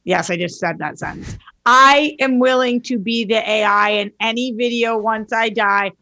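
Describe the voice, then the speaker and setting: A woman's voice: fairly high pitch, moderate pace, pushed and bright, no echo. A woman co-hosting a podcast in casual chat, brash and joking in her way of talking. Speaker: podcast co-host.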